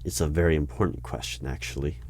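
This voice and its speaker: A man doing an ASMR interview roleplay, asking questions in a deadpan, clipped manner. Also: a bass voice, fast, background noise. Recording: keyboard typing heard throughout. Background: keyboard typing and clicks.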